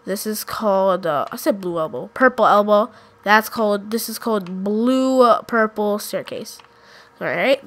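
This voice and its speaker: A woman's voice: medium pitch, fairly bright tone. A woman with a congested, sick voice giving casual gameplay commentary, pointing out map callouts and often correcting herself. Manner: rambling, self-correcting, unsure. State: congested from a cold. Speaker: gaming YouTuber.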